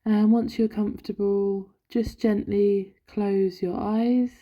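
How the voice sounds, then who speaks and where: A woman leading a guided body scan meditation in a gentle, soothing, instructional manner. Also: mid-range voice, flat delivery, slow. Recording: dull, lo-fi, roomy echo.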